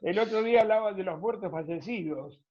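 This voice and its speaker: Argentine interviewer, husky voice, conversational radio hosting, dull recording. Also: medium pitch, a little fast. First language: Spanish.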